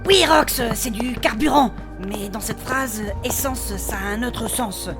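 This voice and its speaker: A woman's voice: on the high side, measured pace, over music. A woman playing a talkative, easily exasperated young adventurer with a casual, bickering manner in a comedic fantasy audio drama. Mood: curious.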